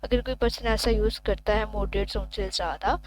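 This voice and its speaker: A woman's voice: medium pitch, steady tone, brisk. A woman with a Pakistani accent giving an informal lesson as a law teacher, explaining as she reads and asking rhetorical questions. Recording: noticeable background noise with hum.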